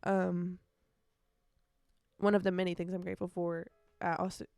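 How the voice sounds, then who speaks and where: A woman's voice: lowish voice, level tone, normal speed, vocal fry, darkish tone, clear, resonant tone, dry recording, studio quality, faint music behind. A nineteen-year-old woman chatting casually on a solo podcast, rambling and correcting herself, with youthful slang and emphatic repetition. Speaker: podcast host.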